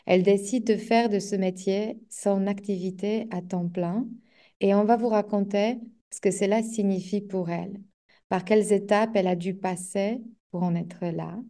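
A woman's voice: medium pitch, fairly even intonation, moderate pace, mellow. A woman hosting a coaching podcast, reading a scripted intro and then interviewing a guest in a hesitant way with many fillers.

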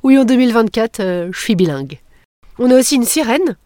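A woman's voice: a little high, expressive, brisk, mellow, no room sound. A woman hosting a podcast, reading scripted narration with playful, jokey asides into a close mic. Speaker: podcast host.

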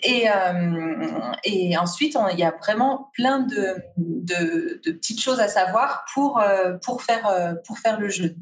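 A woman's voice: mid-range voice, takes it slowly, recorded from a distance. A woman talking through a hesitant flow of fillers and restarts. She is set back from the mic. Her voice rings in a roomy, echoing space. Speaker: guest, fasting retreat organizer. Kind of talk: casual conversation.

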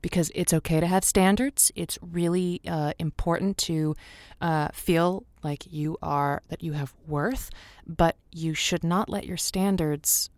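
A woman's voice: on the low side, fairly even intonation, a little slow, a little mellow, no echo, lightly acted. A woman playing a chatty, folksy and somewhat hesitant advice host in an improvised radio drama. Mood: gently advising. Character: chatty female advice-show host, encouraging yet easily needled. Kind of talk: semi-improvised audio drama as radio show.

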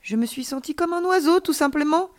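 A woman's voice: high-pitched, brisk, voice pushed up, lightly acted. A woman voicing a joyful, mischievous little alien boy in a children's audiobook, playful, enthusiastic and encouraging.

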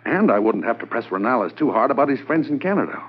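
An actor playing an undercover police corporal who poses as a millionaire, confident and lightly wry, in a 1950s radio drama. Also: male voice, medium pitch, sing-song, quick pace, close to an everyday voice. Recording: muffled lo-fi old radio transcription with faint hiss.

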